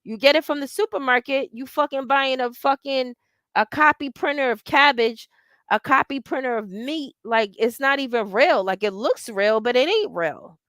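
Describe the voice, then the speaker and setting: A woman's voice: high-pitched, normal speed, a bit bright, no room sound. A woman in her forties hosting a casual livestream, talking in a blunt, profane and rambling way and reading out viewer comments. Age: 40s. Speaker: livestream host.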